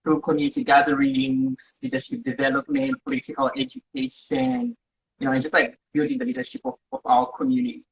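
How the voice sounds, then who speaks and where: A woman's voice: extremely low pitch, animated, brisk. A woman from Zimbabwe, earnestly telling her story as a guest on a live video chat, often tagging "right?". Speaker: community organizer, guest. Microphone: phone or laptop mic on a live stream. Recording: dull lo-fi livestream audio.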